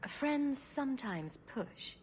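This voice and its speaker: A woman's voice: sits high, big pitch swings, slow pace, a little mellow, a little hiss or hum, full character voice. An actress playing a wry, loyal woman adventurer with a dry, sardonic way of talking in a fantasy video game. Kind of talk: cinematic game dialogue acting.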